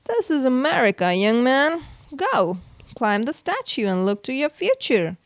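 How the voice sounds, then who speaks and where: A woman's voice: high voice, big pitch swings, measured pace, no room sound, heavily acted. A woman voicing a hearty, commanding male mouse ferry captain in a children's storybook.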